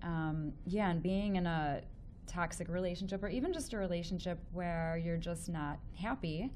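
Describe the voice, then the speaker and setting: Woman, Chicago accent, call-in radio host, chatty, enthusiastic, lowish voice, faint background noise. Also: normal speed, darkish tone. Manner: chatty, enthusiastic, with many fillers and restarts. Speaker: relationship expert and radio show host. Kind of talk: casual call-in radio chat.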